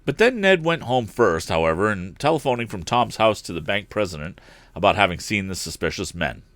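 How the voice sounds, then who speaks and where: A man narrating an adventure novel aloud as a storyteller, with an expressive read-aloud delivery. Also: lowish voice, brisk, no room sound. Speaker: storyteller, live stream host.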